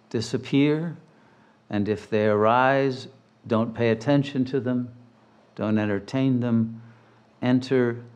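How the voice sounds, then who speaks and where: Male meditation guide, mid-pitched, very unhurried, soothing and deliberate, leaving long pauses. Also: a little mellow. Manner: measured, deliberate, soothing phrasing. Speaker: meditation teacher.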